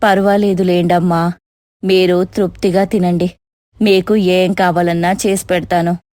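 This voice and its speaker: A woman's voice: lowish voice, level tone, unhurried, darkish tone, no room sound, studio quality, voice pushed down, lightly acted. A woman voicing a humble, caring older village mother-in-law in a cartoon story, plain-spoken and motherly. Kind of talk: moral story cartoon voice acting.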